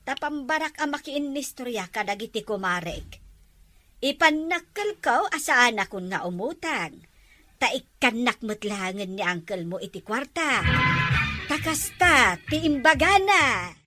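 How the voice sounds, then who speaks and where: A woman's voice: on the high side, very animated, measured pace, sharp tone, faint music behind, full character voice. A woman voicing a village wife in a comedy drama who explains things in a matter-of-fact way. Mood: explaining. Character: thrifty village wife in debt.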